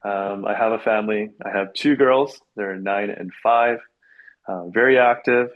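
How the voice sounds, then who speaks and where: A man's voice: lowish voice, narrow, tinny audio. Upbeat man, casual chatty guest. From Canada. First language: Korean heritage, English first. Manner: upbeat, lots of quick yeses and laughs. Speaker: realtor guest. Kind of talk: casual podcast chat.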